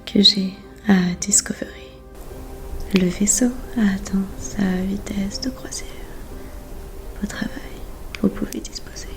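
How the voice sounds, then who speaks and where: Casting: woman playing a composed mission control scientist, soft slow whisper, even delivery, sci-fi ASMR roleplay. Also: mid-range voice, faint music behind, lightly acted. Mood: composed, procedural. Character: calm, thoughtful female space mission control scientist.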